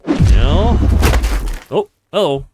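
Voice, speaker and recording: A man's voice: fairly high pitch, animated, very slow, warm tone, slightly rough. A man hosting a let's play, reacting casually to the game as he goes.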